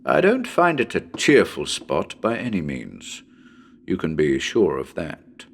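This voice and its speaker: A man's voice: low voice, wildly swooping pitch, unhurried, gravelly, husky, voice pushed down, exaggerated voice. A man playing a chatty, wryly uneasy radio broadcaster reporting from a haunted house in a dramatic horror reading. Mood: wry unease. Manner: conversational, wry, hesitant.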